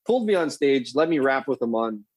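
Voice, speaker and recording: Male podcast guest, mid-pitched, swears constantly, rambles through anecdotes. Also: fairly quick, no echo, studio quality. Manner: profane, rambling, animated storyteller. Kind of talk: casual storytelling chat.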